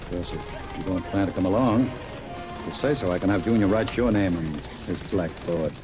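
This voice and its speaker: Guy acting a smooth-talking gangster boss, low voice, commanding, old radio play w/ music. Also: natural voice. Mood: cold, menacing. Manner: deliberate, controlling, coaxing. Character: smooth-talking, commanding male crime boss, coldly menacing.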